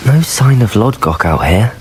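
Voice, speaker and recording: An actor playing an earnest, cautious young wizard student in a video game, speaking in a measured way. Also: male voice, mid-range voice, expressive, a little hiss or hum, close to an everyday voice. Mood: determined. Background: game music.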